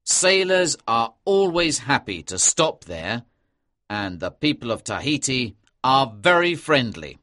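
An earnest young naval officer from a storybook as a woman reader plays him. He talks slowly and dutifully, in a lowered voice with a bright, forceful edge and lively swings in pitch. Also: dry recording, clean studio sound, full character voice. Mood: friendly, explaining. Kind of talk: audiobook character dialogue.

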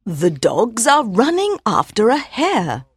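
A man's voice: sits high, huge pitch swings, takes it slowly, slightly rough, dry recording, voice pushed up, exaggerated voice. A man voicing an old wise mother mare. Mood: earnest advice. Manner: soft, earnest and admonishing.

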